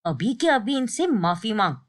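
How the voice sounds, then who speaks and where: A woman's voice: a little high, big pitch swings, normal speed. A woman voicing a stern old grandmother in a cartoon story, scolding bluntly and giving orders. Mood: stern, angry.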